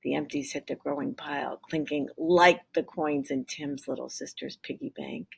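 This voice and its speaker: A woman's voice: sits low, normal speed, gravelly, breathy edge. A woman teacher reading a storybook aloud expressively, with explanatory asides for her pupils. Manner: expressive, acts out dialogue.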